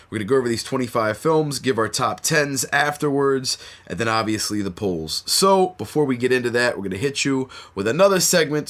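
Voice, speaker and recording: A man's voice: on the low side, a bit bright. A man hosting a horror podcast in a laid-back, slangy way with plenty of fillers, sounding stuffy from a cold. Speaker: podcast host. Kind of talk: casual podcast chat.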